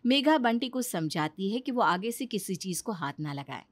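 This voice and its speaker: A woman's voice: mid-range voice, big pitch swings, moderate pace, dry recording. A woman reading scripted narration for a moral story.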